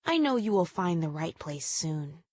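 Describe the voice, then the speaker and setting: A woman's voice: on the low side, big pitch swings, unhurried, darkish tone, dry recording, studio quality. A woman voicing a beautiful, vain female weeping willow in a children's storybook, sugary, genteel and apologetic. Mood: sweetly apologetic.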